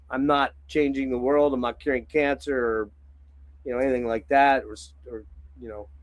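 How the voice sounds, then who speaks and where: Hoarse man rambling on a livestream. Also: mid-range voice, no room sound, poor audio. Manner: rambling, frequent throat clearing and filler words. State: hoarse and phlegmy. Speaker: livestream host. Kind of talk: casual livestream chat.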